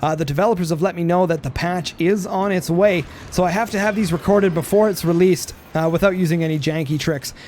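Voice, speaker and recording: Hoarse, high-voiced male game caster. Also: fairly quick, few pauses, slight background noise. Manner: energetic, rambling, many fillers and restarts. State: hoarse, losing his voice. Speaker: game commentator. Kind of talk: live play-by-play commentary.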